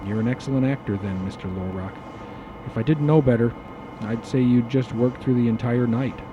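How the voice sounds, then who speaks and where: A man's voice: medium pitch, steady tone, moderate pace, highs cut off, over music, lightly acted. A man playing a stern starship officer in an audio drama, dryly sardonic and commanding, with wry, elaborate phrasing.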